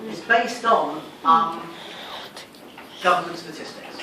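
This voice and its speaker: A man with a high voice delivers a revision lecture in a didactic style, often putting a question and then answering it himself. He is recorded from a distance in a very reverberant lecture room, with clear background noise. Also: slow pace. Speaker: sociology lecturer. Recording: echoey room, distant mic, noisy.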